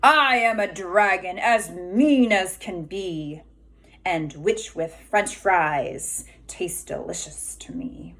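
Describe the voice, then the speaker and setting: A woman's voice: on the low side, slow, fairly bright tone. A woman voicing a mean, hungry male dragon in a storybook read aloud for children. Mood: menacing, gloating.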